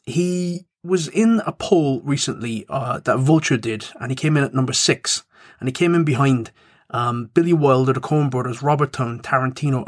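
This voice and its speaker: Give me a measured man, mid-pitched and recorded with no room echo. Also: clean studio sound. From Ireland, Cork. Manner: measured, informative, builds up clues. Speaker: podcast co-host, screenwriter. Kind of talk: casual chat with storytelling.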